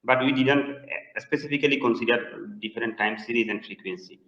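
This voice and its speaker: Man from Bangladesh, halting with fillers, roomy echo, dull lo-fi webinar audio. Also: medium pitch, fairly bright tone. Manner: hesitant, many fillers and restarts. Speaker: presenting researcher answering questions. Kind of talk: webinar Q&A answers. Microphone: video call. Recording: echoey, dull lo-fi call audio.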